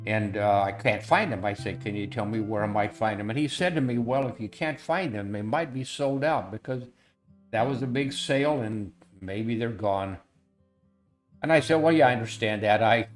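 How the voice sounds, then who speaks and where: An older man speaks in an earnest, folksy way, circling back with fillers and repeated phrases as he tells a personal story. His voice sits at an ordinary middle pitch. Soft guitar music plays faintly underneath. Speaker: educational software founder telling a story.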